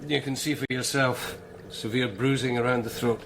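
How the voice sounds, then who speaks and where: An actor playing a wry senior Scottish forensic pathologist in a radio drama, speaking in a measured, clinical way. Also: male voice, mid-range voice, steady tone, natural voice. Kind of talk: naturalistic radio drama acting. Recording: clearly noisy background.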